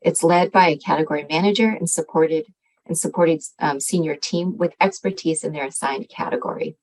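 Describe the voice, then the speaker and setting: A woman's voice: low voice, a little fast, darkish tone, low-quality recording. A woman giving an explanatory slide presentation as a government agency presenter, speaking hesitantly with frequent ums.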